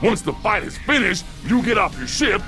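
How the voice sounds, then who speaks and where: An actor playing a bossy, scheming robot police chief in a video game, briskly giving instructions. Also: male voice, sits high, sing-song. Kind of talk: video game cutscene acting. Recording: loud music behind the voice.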